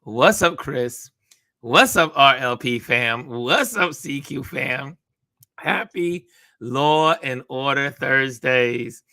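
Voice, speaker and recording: A raspy-voiced man hosts a casual live stream and reads out viewer greetings. He talks slowly, and his tone is bright and forceful. The sound is dry and close. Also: medium pitch, animated, dry recording. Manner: reads viewer comments aloud, upbeat shout-outs. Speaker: host.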